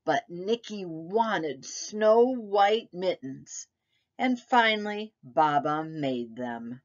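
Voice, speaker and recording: A woman with a fairly low voice reads a storybook aloud for young children. Her phrasing is deliberate and unhurried, and her pitch moves up and down expressively as she tells the tale. The recording is a little dull and lo-fi. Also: lively intonation. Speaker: preschool teacher reading a picture book aloud.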